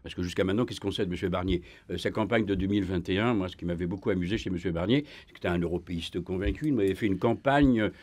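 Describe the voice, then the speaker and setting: A man speaking as a historian guest in a radio debate, emphatic and full of restarts, his pitch rising when he presses a point. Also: racing, few pauses, a little mellow. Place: radio studio.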